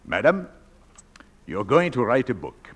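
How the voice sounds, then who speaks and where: A man playing the ghost of a gruff, blustering sea captain in a theatrical radio play. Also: mid-range voice, wildly swooping pitch, measured pace, heavily acted. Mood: gruff, self-assured. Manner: blustery, commanding, nautical turns of speech. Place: theatre stage. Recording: reverberant hall, live stage performance.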